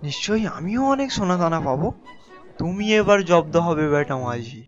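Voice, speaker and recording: A greedy, crafty fishmonger from an animated village tale, played by a man, speaks in a very high male voice. He is grudging and sarcastic, on a dull recording with music behind. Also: moderate pace, natural voice. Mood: gleeful scheming. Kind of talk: animated folk tale voice acting.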